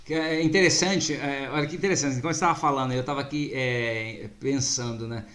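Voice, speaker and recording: A man's voice: mid-range voice, brisk, a bit bright. A man hosting an interview, chatty and full of enthusiastic interjections. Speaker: interview host. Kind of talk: casual interview.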